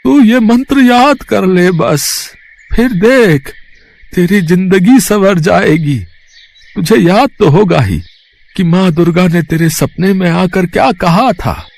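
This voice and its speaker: A man playing a mysterious, kindly beggar sage who speaks in a knowing, deliberate, benevolent way. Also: sits high, creaky, darkish tone, dry recording, full character voice. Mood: grateful, knowing.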